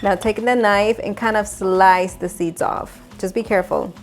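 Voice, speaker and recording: Upbeat woman host for a cooking lesson in a kitchen, clear instructive delivery, light music and clatter behind. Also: medium pitch, steady tone, normal speed. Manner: upbeat, instructive, laughs often. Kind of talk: cooking demonstration. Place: home kitchen.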